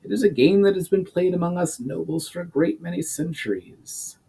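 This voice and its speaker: A man voicing a stern, seasoned duke in a storybook reading, speaking in a measured, formal, lordly way. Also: high-pitched, creaky, warm tone, slightly rough, pitched above the performer's own voice, full character voice. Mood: measured, guarded. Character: stern, weathered duke, seasoned political player.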